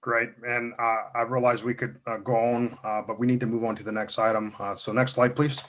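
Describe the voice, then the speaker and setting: A man facilitating a public meeting, moving the agenda along politely and pausing often on "uh". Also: mid-range voice, level tone, a bit bright. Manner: polite, frequent uhs.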